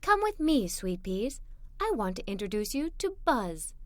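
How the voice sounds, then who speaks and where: A woman voicing a kindly young girl's mother in a children's storybook, gentle, tender and reassuring. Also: fairly high pitch, big pitch swings, unhurried, dry recording, voice pushed down. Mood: playful, warm.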